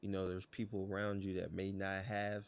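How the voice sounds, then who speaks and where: A man co-hosting a podcast in relaxed, rambling casual chat, often saying "bruh" and "like". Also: low voice, moderate pace, vocal fry. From United States. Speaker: podcast co-host.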